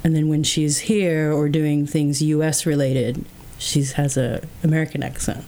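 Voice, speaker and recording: A woman's voice: deep voice, level tone, a little slow, mellow. A woman guest in a casual interview, talking reflectively with many fillers like "like" and "um", with faint background noise. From England.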